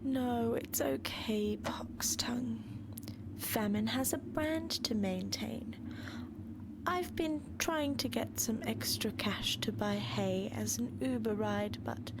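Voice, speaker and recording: Slow, frail, whispery starving horse. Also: female voice, fairly high pitch, lively intonation, background noise. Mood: feeble. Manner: hesitant, plaintive, vague. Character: starved, feeble, dim but sweet-natured horse of Famine. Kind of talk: comic radio play acting.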